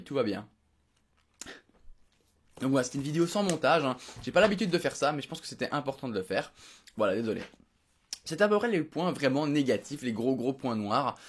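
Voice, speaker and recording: A man's voice: medium pitch, slight background noise. A young man from Belgium giving a casual, unedited recap to his viewers, rambling with many fillers and restarts. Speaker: vlogger. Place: home room.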